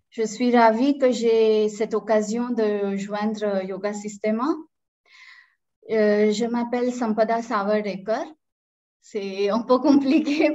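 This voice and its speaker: A woman's voice: fairly high pitch, darkish tone. A woman in her thirties from India, a native Marathi speaker with an Indian accent, talking as a guest on a video call, with many hesitant fillers and restarts. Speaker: guest, Sanskrit teacher. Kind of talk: casual interview. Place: small room indoors. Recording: dull lo-fi video call audio.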